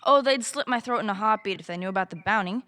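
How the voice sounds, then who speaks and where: A woman's voice: medium pitch, fast talker, bright, forceful tone, natural voice. A woman playing a cocky, blunt, streetwise rogue in a fantasy audio drama. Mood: brash, confident. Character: brash foul-mouthed female rogue, cocky.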